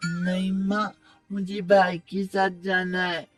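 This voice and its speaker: A man's voice: high voice, level tone, takes it slowly, music in the background. A man playing an innocent, loving boy of about seven in a cartoon, with an earnest, pleading manner. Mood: hurt, firm. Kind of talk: Hindi moral cartoon voice acting.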